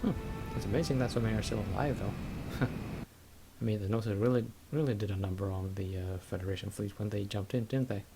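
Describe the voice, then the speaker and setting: A man's voice: on the low side, fairly even intonation, fairly quick, warm tone. A man giving casual, hesitant and wry commentary over video game cutscenes. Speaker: let's play commentator. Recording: music behind the voice. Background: game music.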